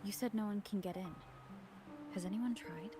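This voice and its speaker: A woman voices a level-headed young adventurer heroine from a video game. The delivery is calm and matter-of-fact at a middle pitch, over clear background music. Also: moderate pace, close to an everyday voice. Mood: determined.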